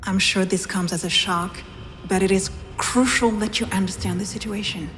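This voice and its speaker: An actress playing a serious, formal woman doctor in a visual novel game, speaking in a measured, grave manner. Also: mid-range voice, steady tone, fairly bright tone, slight background noise, close to an everyday voice.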